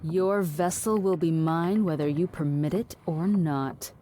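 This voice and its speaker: An actress playing a poised, defiant and seductive suspected spy in a theatrical audio drama. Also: female voice, on the low side, unhurried, dark tone, a little hiss or hum, lightly acted. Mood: defiant, teasing.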